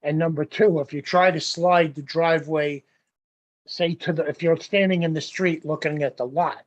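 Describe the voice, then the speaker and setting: A man's voice: fairly high pitch, fairly even intonation, normal speed. A man presenting his site plans to a village board, explaining in a practical, plain-spoken way. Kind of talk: explaining at a public meeting. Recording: lo-fi meeting audio.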